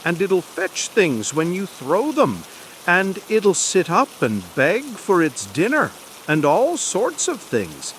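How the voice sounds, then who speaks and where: A man's voice: high voice, wildly swooping pitch, slow, a bit bright, slight background noise, voice pushed up, full character voice, poor audio. A man voicing a polite, eager, chatty little girl in a storybook reading, soothing and apologetic. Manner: soothing, hesitant, gushing.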